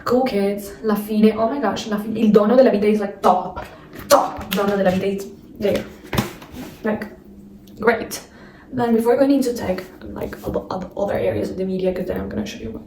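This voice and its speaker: A woman's voice: medium pitch, darkish tone, slight background noise. Young woman vlogger with a non-native accent gushing, lots of likes, sounds a bit far from the cam in an echoey room. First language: not English. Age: young adult. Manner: chatty, gushing, fillers, laughing asides. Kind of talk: casual chat.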